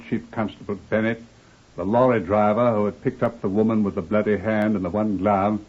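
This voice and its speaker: A man with a husky voice giving measured, deliberate dramatic narration for an old-time radio crime story. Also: on the low side, darkish tone, close to an everyday voice. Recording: dull lo-fi old radio recording.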